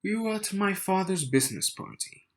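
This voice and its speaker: A man's voice: high voice, sing-song. A man playing a cocky, wry and slightly bitter young man in an intimate audio roleplay, teasing and smug as he speaks to the listener. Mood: soft, reminiscing. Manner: slow, teasing, smug, with sighs and soft chuckles.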